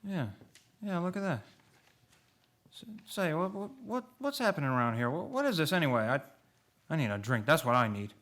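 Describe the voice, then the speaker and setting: A man's voice: a little high, big pitch swings, normal speed, pauses often, a bit bright. A man playing a despairing, bewildered family man in a radio play, hesitant and stammering.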